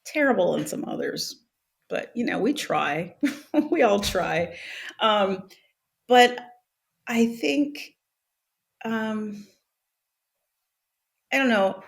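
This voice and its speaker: A woman's voice: medium pitch, expressive, takes it slowly, gravelly, long pauses. A middle-aged woman speaking as an interview guest, reflective and laughing often, with many restarts. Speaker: guest, actress and author. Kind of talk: conversational interview.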